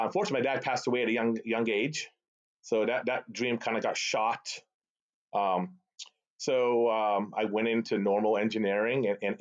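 A middle-aged man from the Boston area with a Boston accent, Arabic his first language, chatting as a guest in an interview and often tagging on "right?". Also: on the low side. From Boston area, USA. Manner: animated, chatty, tag questions like "right?". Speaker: guest, sales executive. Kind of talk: casual storytelling interview. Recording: slightly dull lo-fi call audio.

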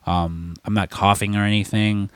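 A young man with a fairly low voice, congested from a lingering cold. He rambles through casual podcast chat with constant fillers and casual swearing. The sound is dry and close to the mic. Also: steady tone, normal speed, clean tone, no room sound. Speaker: podcast co-host.

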